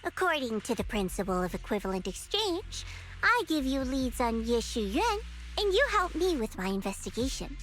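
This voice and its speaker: A woman voicing a proud young female investigator in an anime-style game, smug, teasing and brisk in manner. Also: sits high, sing-song, a bit bright, slight background noise, poor audio. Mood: teasing, dismissive. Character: proud, haughty young female investigator boasting of her record.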